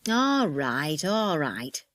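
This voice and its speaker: A sulky, dismissive man day-tripper in a children's storybook, played by a woman reader. The voice sits fairly low and grumbles, recorded dry. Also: big pitch swings, slow, a little mellow, no room sound, full character voice.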